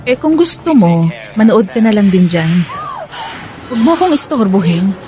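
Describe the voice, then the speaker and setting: A woman's voice: mid-range voice, big pitch swings, normal speed, dark tone, faint music behind, lightly acted. An actress playing a young, inquisitive, sulky girlfriend in a Filipino radio drama. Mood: sulky, annoyed. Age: young adult.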